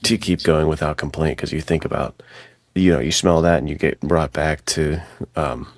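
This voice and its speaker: A man with an extremely deep voice and heavy vocal fry chats as a podcast co-host. His delivery is informal and reflective, peppered with slang. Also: steady tone, normal speed, dry recording, clean studio sound. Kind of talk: casual reflective chat.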